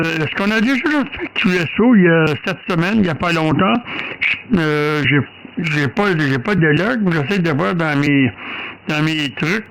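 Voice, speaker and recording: A man's voice: on the high side, vocal fry, fairly bright tone, a little hiss or hum, low-quality recording. An older man with a Quebec French accent chatting casually as an amateur radio operator, often repeating himself. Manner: repeats words, English exclamations. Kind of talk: casual two-way radio chat.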